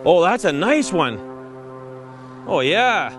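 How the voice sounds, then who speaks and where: A middle-aged man hosting a fishing show on location, wading in a river and exclaiming excitedly over his catch. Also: high voice, slow pace. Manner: excited, exclaiming, laughing often. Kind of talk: on-location casual chat.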